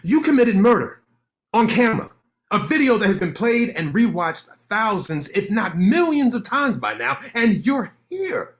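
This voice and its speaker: A man playing a scornful sharp-tongued lawyer. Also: high-pitched, sing-song, a little slow, full character voice. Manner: sarcastic, cutting, confrontational. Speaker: actor in a staged reading. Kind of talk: staged play reading.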